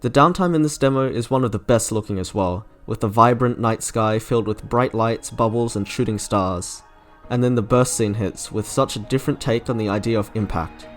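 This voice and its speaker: Young man's scripted commentary over soft music. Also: fairly low pitch, moderate pace. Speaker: video essayist reviewing game trailers. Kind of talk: scripted narration, opinion commentary. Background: soft game music.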